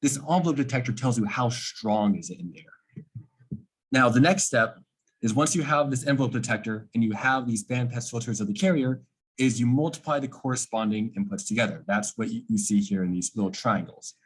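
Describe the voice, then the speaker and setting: Slightly husky man explaining something technical. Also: mid-range voice, brisk. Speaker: student project presenter.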